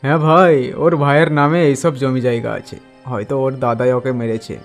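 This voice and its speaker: A man voicing an excitable villager who passes on gossip and bad news in a horror cartoon. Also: medium pitch, a little fast, soft background music, natural voice.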